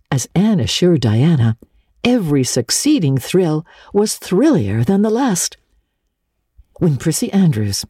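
Lady reading a classic story, mellow and animated, husky, dramatic audiobook style. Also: low voice, no room sound, clean studio sound. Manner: expressive, measured storytelling. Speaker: narrator.

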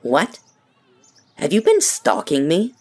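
A woman's voice: fairly low pitch, huge pitch swings, slow pace, darkish tone, exaggerated voice. A woman playing a weary, guarded, sceptical young woman in an audio drama, with a dry, curt, questioning manner.

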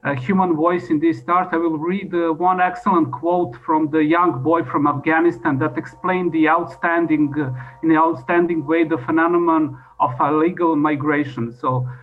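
A man with a fairly high voice gives a formal presentation in English as a second language. His phrasing is halting and repetitive. The recording is dull and lo-fi. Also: steady tone, faint music behind. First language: not English. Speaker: presenter at an expert conference. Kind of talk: formal presentation, partly improvised. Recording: dull lo-fi audio.

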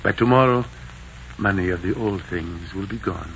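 Sorrowful proud emperor, formal declamation, 1940s radio drama, dull noisy recording. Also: male voice, low-pitched, normal speed. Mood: resigned, solemn. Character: proud, sorrowful Aztec emperor, a fatherly captive ruler.

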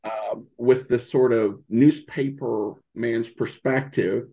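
A man in a casual interview as a podcast guest, talking haltingly and searching for his words. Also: mid-range voice, fairly even intonation, vocal fry, mellow, muffled recording. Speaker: podcast guest, old-time radio podcaster.

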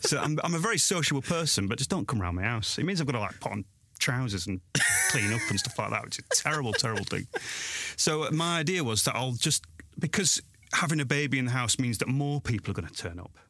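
A man's voice: medium pitch, lively intonation, fast talker, pushed and bright, no echo. Joking South Yorkshire man, dry close sound. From Sheffield, England. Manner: self-deprecating, jokey, rambling with restarts. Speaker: guest author and blogger. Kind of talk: casual interview chat.

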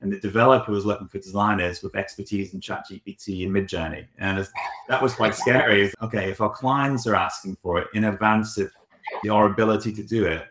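Male studio director guest, low voice, thoughtful explainer, roomy echo. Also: steady tone, fairly quick, a bit bright. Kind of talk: conversational interview answers.

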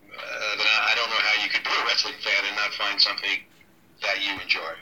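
A middle-aged man, a ring announcer, talking casually in an interview, relaxed and halting with many ums and restarts. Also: fairly low pitch, far from the mic, crowd noise, rough recording. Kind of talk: casual interview over a call.

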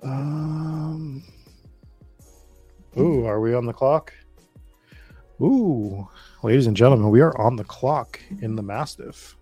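A man's voice: lowish voice, darkish tone. An American man from the Boston area chatting informally and swearing freely as he hosts a casual live draft stream commentary. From Boston area, United States. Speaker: host of a fantasy football draft stream.

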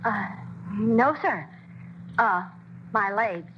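A woman's voice: mid-range voice, extremely slow, a little hiss or hum. An actress playing a timid young woman hoping to dance in the chorus, in a 1940s radio drama on a dull old broadcast. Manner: timid, hesitant. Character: shy young girl, a hopeful chorus dancer.

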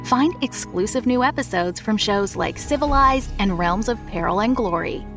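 A woman's voice: medium pitch, expressive, moderate pace, fairly bright tone. A woman reading scripted promotional narration as an ad announcer. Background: music.

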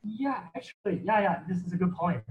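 A man's voice: sits high, lively intonation, fairly quick, dull audio, a little hiss or hum. Hesitant man, Mandarin first language. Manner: hesitant, many restarts and fillers. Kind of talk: casual conversation about reading.

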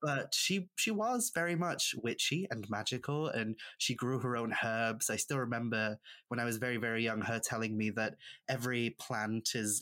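A man's voice: mid-range voice, a bit bright. A man from rural Wales with a Welsh accent, a native Welsh speaker, chatting and telling stories as an interview guest. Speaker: podcast guest, author and witchcraft practitioner. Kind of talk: conversational interview storytelling.

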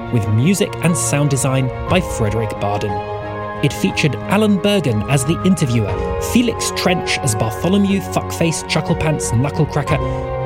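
A male podcast host reads a scripted announcement and credits. His voice is fairly high and very lively in pitch, over loud music.

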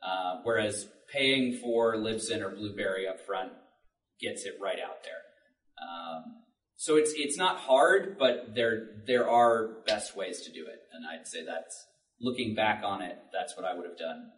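A man's voice: lowish voice, measured pace. A man speaking informally and explaining things on a panel at a public event. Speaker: podcast writer and panelist. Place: public library panel room. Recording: roomy, distant microphone.

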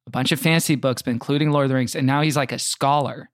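A man's voice: mid-range voice, fairly even intonation, fast talker, dry recording. A man, a comedian guest on a podcast, bantering casually in a joking, mock-earnest way.

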